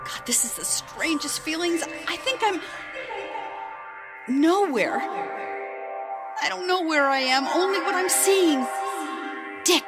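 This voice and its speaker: A woman playing a dreamy, tender wife and mother in an audio drama, speaking in halting, breathless exclamations as if seeing visions. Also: very high voice, lively intonation, exaggerated voice. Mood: dazed, searching. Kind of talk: emotive audio drama acting. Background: loud music.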